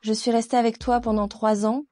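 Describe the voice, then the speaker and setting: A woman's voice: a little high, flat delivery, brisk, warm tone, no room sound, clean studio sound, soft background music, natural voice. An actress playing a haughty, jealous ex-girlfriend who feels wounded and jilted, in dubbed melodrama style. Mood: hurt, indignant.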